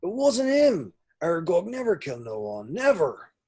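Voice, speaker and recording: An actor voices a huge, kindly boy with a husky, rough-spoken delivery. The pitch swings around with protective, pleading emphasis, as in an amateur script reading. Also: huge pitch swings, moderate pace, a little mellow. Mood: desperate pleading. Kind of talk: amateur online table read of a screenplay.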